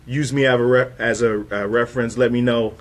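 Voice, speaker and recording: A man's voice: medium pitch, steady tone, a little fast. A man in his thirties hosting a casual interview, chatting in an informal, conversational way. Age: 30s. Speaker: show host.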